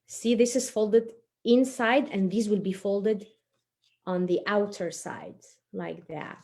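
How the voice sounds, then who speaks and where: A woman's voice: mid-range voice, steady tone, slow pace, dark tone. A woman presenting a children's craft tutorial step by step, informal and encouraging as she talks while working with her hands.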